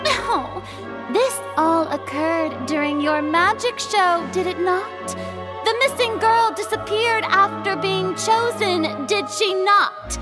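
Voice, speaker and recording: Haughty, very high-pitched young ruler. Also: female voice, over loud music. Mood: accusing. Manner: pompous, declamatory, dramatic pauses. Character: theatrical young female ruler, haughty, grandiose and accusatory. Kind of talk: theatrical video game acting.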